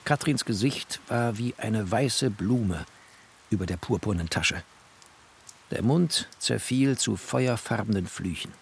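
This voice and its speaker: A man's voice: lowish voice, slow pace, vocal fry, a little hiss or hum. A man narrating a literary audio drama in a wry, descriptive way.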